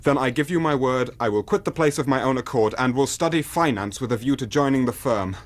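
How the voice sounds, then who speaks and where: An actor playing a desperate but courteous young British gentleman, speaking with formal, restrained earnestness in a radio drama. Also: medium pitch, fairly quick, lightly acted. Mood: pleading. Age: young adult. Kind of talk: BBC radio drama acting.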